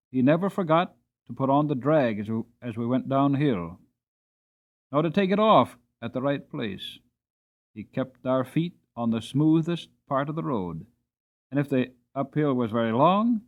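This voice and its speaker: A man narrating an audiobook in a dramatic storytelling style, with expressive, theatrical emphasis. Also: medium pitch, narrow, tinny audio.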